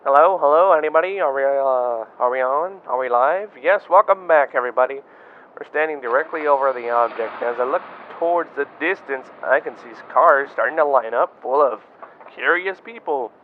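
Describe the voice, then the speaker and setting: An actor playing an eager, inquisitive radio field reporter describing a scene in an amateur radio drama. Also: male voice, mid-range voice, normal speed, slight background noise, lightly acted. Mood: excited. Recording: tinny phone-like line.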